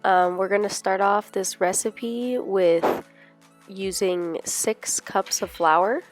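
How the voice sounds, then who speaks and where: A woman who is sick and sounds a little nasal, narrating a cooking tutorial step by step in a relaxed, instructional way. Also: mid-range voice, takes it slowly, faint music behind. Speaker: cooking vlogger.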